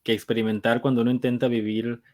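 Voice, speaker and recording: A man hosting a podcast, asking questions in a thoughtful way and pausing to search for his words. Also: medium pitch, flat delivery, warm tone. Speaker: podcast host. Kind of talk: conversational interview.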